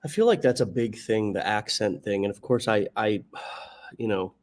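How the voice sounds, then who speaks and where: An American man hosting a motivational interview, talking in a reflective, halting way with frequent restarts. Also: lowish voice. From United States. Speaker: podcast host.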